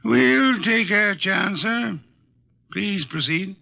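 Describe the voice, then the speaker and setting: An actor playing a ponderous, testy detective genius in a formal, deliberate 1940s radio style, with a gravelly voice. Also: male voice, sits high, expressive, heavily acted. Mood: formal, skeptical. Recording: muffled vintage 1940s radio recording.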